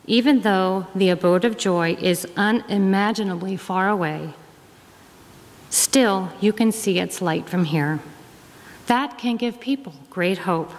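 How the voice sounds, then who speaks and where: Deliberate woman reading in a reverberant hall. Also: mid-range voice. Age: middle-aged. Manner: deliberate, phrase by phrase. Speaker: guest minister. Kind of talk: measured reading aloud to a congregation. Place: large wood-panelled church hall. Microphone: lectern gooseneck microphone.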